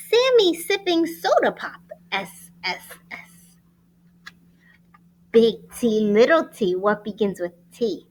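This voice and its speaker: A woman teacher reading a picture book aloud to young children in an expressive, sing-song way with deliberate enunciation. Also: fairly high pitch, poor audio. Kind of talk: animated children's read-aloud.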